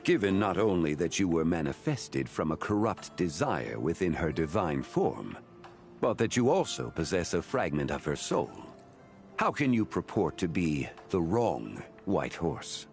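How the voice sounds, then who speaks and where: A man playing a grave, composed ancient god in a fantasy game, speaking in a measured, solemn and formal way. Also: low-pitched, big pitch swings, exaggerated voice. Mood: firm, challenging. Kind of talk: fantasy game cutscene acting. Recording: music behind the voice.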